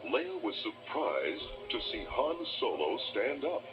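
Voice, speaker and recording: Male narrator, children's read-along cassette: low register, very expressive and dramatic storytelling over a musical score. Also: takes it slowly, highs cut off. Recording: old cassette, music behind.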